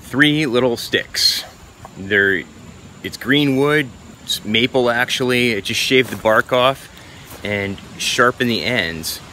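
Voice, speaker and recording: A man's voice: mid-range voice, slow pace, pushed and bright, a little hiss or hum. Easygoing man vlogging in the woods. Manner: easygoing, rambling with restarts. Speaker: bushcraft vlogger. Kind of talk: casual outdoor how-to vlog. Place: outdoors in a leafy forest. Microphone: camera held close at arm's length.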